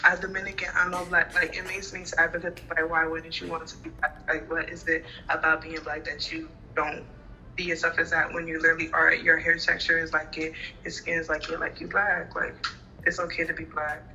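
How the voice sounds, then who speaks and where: Bright-voiced young woman on a video call. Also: low-pitched, completely flat delivery, dull audio, a little hiss or hum. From Honduras, Garifuna. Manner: casual, fast, peppered with "like". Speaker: guest. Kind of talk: casual video call interview. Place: small bedroom indoors. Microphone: phone at arm's length on video call.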